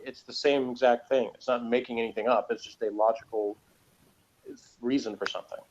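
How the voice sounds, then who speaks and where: A man's voice: mid-range voice, fairly even intonation, brisk, darkish tone, dull audio, slight background noise. A man giving a casual, conversational TV review, explaining and arguing his points at length. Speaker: podcast reviewer.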